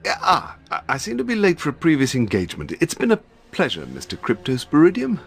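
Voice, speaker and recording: An actor playing a dapper, jittery British secret service gentleman in a video game, with a plummy upper-class English accent and fussy politeness. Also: a little high, wildly swooping pitch, fairly quick, creaky, breathy edge, full character voice. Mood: flustered, chirpy. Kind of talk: video game cutscene acting.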